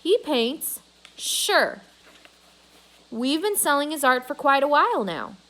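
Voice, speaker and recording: A woman's voice: high-pitched, sing-song, takes it slowly, pushed and bright, voice pushed up, heavily acted. A woman voicing a blustering, opportunistic male mall owner with brash salesman talk, in a children's novel read aloud. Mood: wary.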